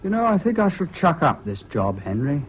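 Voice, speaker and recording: An actor in a British radio drama playing a troubled, excitable, self-doubting young man with an English accent and an earnest manner. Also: fairly high pitch, moderate pace. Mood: dejected, self-doubting. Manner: hesitant, earnest, swinging between gloom and giddy excitement. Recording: dull, hissy old radio recording.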